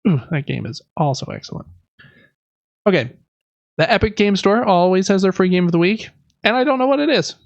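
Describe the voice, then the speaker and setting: A man's voice: high voice, animated, a little fast, vocal fry, long pauses, no echo, highs cut off. A man hosting a casual gaming podcast, reading through news lists in a chatty, informal way with personal asides. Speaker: podcast host.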